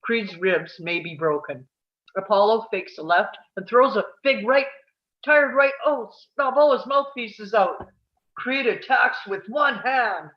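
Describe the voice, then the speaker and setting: A man's voice: sits high, a little slow. Ringside fight announcer acted by a man, tense play-by-play, dull lo-fi sound. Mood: excited. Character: tense male ringside boxing commentator. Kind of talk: sports commentary in a table read.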